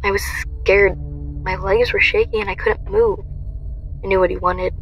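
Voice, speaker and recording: A woman's voice: mid-range voice, fairly even intonation, moderate pace, highs cut off, slight background noise, natural voice. A woman playing a traumatized young woman who narrates her violent awakening in an audio drama. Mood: tense, fearful. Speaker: lead actress and narrator.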